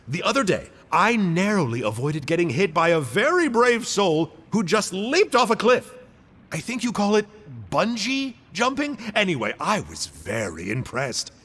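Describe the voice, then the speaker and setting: A man's voice: high-pitched, fairly bright tone, in a large hall, exaggerated voice. A man voicing a cheerful, cheeky male agent of a villainous order, mock-polite and teasing.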